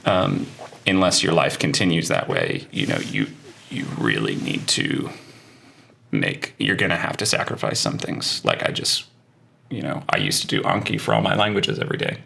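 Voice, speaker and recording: A man's voice: very deep voice, big pitch swings, moderate pace, creaky, pushed and bright, slight background noise. A man talking as an interview guest, a language teacher musing thoughtfully and hedging as he reflects. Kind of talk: casual interview conversation.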